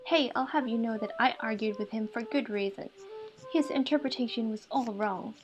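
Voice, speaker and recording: A woman voicing an outgoing, earnest young female literature student in a visual novel, lively and pushy. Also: a little high, normal speed, lightly acted. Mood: indignant. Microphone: earphone mic. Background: game music.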